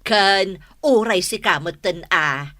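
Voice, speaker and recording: A woman playing a chatty, reassuring aunt in a Filipino radio comedy-drama, unhurried, with a Filipino accent. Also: mid-range voice, bright, forceful tone, no echo, studio quality. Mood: amused, reassuring. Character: chatty, reassuring aunt, older than the wife.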